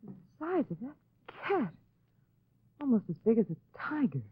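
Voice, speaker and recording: An actress playing an excitable, teasing lady sidekick, expressive and melodramatic in 1940s radio drama style. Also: a little high, normal speed, slightly rough, full character voice. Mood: horrified. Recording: tinny, narrow old radio recording.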